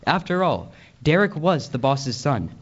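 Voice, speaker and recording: A man's voice: fairly high pitch, very animated, measured pace, breathy edge, dull audio, exaggerated voice. A man playing the storyteller, reading scripted narration for a Christmas radio play. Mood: suspenseful storytelling.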